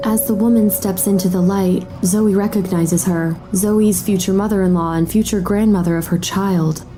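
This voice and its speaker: A woman serves as narrator for a dramatic audio series. Her mid-range voice delivers scripted storytelling over clearly audible music. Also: mid-range voice, steady tone, normal speed. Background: loud music.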